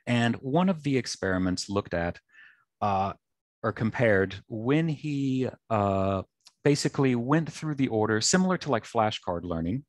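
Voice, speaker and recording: A man with a low voice and a faint Southern American twang explains research patiently, lecture-style, with plenty of ums. He talks into a headset mic on a video call, and the sound is dry and close with no room echo. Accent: light Southern American. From North Carolina, USA. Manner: measured, lecturing, with frequent ums. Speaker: researcher guest. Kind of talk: explanatory interview talk. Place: office with bookshelves and whiteboard.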